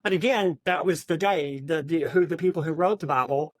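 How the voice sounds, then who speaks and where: A woman's voice: sits low, brisk, no echo. A woman talks informally as co-host of a book discussion podcast. Her delivery is conversational and unscripted. The recording is dry, without audible room reflections.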